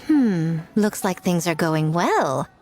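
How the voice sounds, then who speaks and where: A woman's voice: lowish voice, big pitch swings, slow pace, darkish tone, faint music behind. Sly, teasing woman, swooping delivery. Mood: playful, teasing. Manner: playful, leisurely teasing. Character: poised, teasing adult woman, sly festival organizer. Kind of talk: anime game voice acting.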